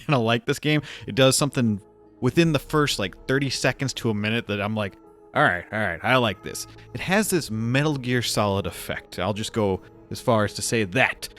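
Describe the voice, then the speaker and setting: A man's voice: mid-range voice, animated, brisk, fairly bright tone. A man hosting a game review video in an informal, chatty, lightly joking way. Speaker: video host reviewing games. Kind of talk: casual scripted video commentary. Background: background music.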